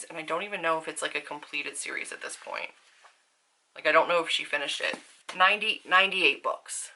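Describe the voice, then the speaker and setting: A woman speaks in a forward, bright voice that sits a little lower than average. She chats informally to her viewers like a seasoned vlogger, with slangy, self-deprecating asides. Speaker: book vlogger. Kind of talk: casual chat to viewers.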